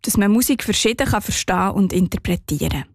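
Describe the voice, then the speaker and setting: A woman's voice: mid-range voice, animated, brisk, dry recording. Swiss German woman reporter, dry studio narration. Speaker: radio reporter.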